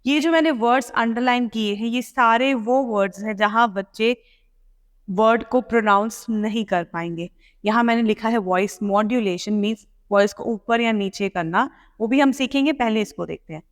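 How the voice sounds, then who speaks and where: A woman with an Indian accent teaching pronunciation in a didactic way, enunciating deliberately as she explains and demonstrates. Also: on the high side. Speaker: English pronunciation and test-prep teacher. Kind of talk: instructional lesson mixing explanation and read-aloud demonstration. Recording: echoey, reverberant room.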